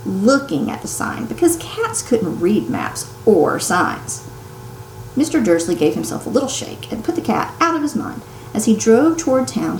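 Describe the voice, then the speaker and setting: A woman reads a children's story aloud expressively, like a teacher, with dramatic pauses, strong emphasis and hushed turns for suspense. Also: mid-range voice. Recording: roomy echo, some background noise.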